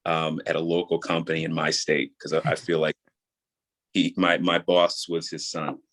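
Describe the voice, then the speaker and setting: Very deep-voiced, soothing American man. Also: monotone, normal speed, fairly bright tone. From New York, USA. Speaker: guest, audio drama creator and voice actor. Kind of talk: casual interview chat.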